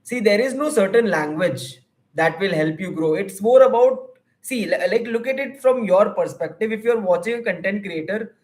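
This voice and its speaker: A man's voice: sits high, moderate pace, a little mellow. A young Indian man with an Indian English accent, a YouTuber and counselor answering viewer questions on a livestream in an earnest, advice-giving way, with many fillers and restarts.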